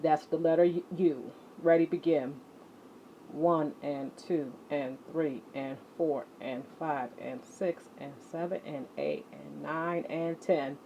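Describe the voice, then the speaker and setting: Slow-talking woman teacher on muffled, noisy audio. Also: low voice, warm tone. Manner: deliberate, emphatic, addressing students directly. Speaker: teacher and exercise leader. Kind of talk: instructional lesson to children, read-along with warm-up exercises. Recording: muffled audio with clear background noise.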